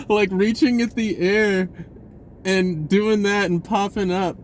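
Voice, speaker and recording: A man telling a personal story in a casual, rambling, informal way with an animated, giggly delivery. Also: high-pitched, slow, a little hiss or hum.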